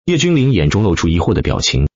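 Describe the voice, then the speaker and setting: A man's voice: deep voice, wildly swooping pitch, fast, a little mellow, slightly rough, no room sound, highs cut off. A man reading a fantasy novel as dramatic scripted narration. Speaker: audiobook narrator.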